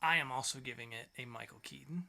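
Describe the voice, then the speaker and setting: A man's voice: medium pitch, fast talker, gravelly, sharp tone. A man co-hosting a podcast, reviewing comic books in an informal, enthusiastic way. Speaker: podcast co-host. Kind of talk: casual comic book review chat.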